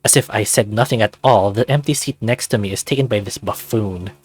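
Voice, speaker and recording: A man's voice: fairly low pitch, a little fast, no echo. A man reading scripted first-person narration from a visual novel.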